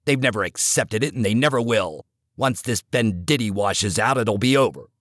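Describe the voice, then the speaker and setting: A man's voice: on the low side, normal speed, a bit bright, dry recording, studio quality, pitched below the performer's own voice. A man reading the part of a stubborn male baseball coach, curt and dismissive. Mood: contemptuous.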